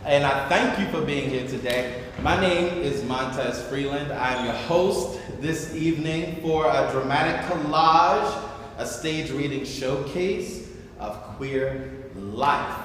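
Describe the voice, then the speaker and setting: A man's voice: a little high, expressive, slow, a bit bright. A man hosting a stage event, speaking to an audience with an enthusiastic, theatrical delivery. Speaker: host. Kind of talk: addressing a theater audience, then reading narration. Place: large reverberant indoor venue. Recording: echoey hall, distant, faint noise.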